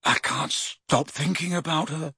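Brief: a man voicing a dreamy, breathless teen wizard, pitched high and swooping, for a storybook. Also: big pitch swings, measured pace, a bit bright, slightly rough, no room sound, heavily acted. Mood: lovesick. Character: lovesick teenage boy wizard, excitable and greedy.

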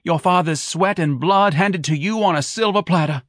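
A man voicing a wealthy middle-aged wife who needles her husband with sarcastic, drawn-out nagging. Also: mid-range voice, animated, fairly bright tone, no room sound, clean studio sound, voice pushed up. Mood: needling.

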